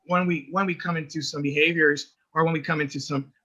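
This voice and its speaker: A man with a raspy voice who works as an early intervention teacher, talking earnestly in a casual podcast chat and often repeating words as he finds his point. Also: a little high, fairly even intonation, fairly quick, bright, forceful tone, muffled recording.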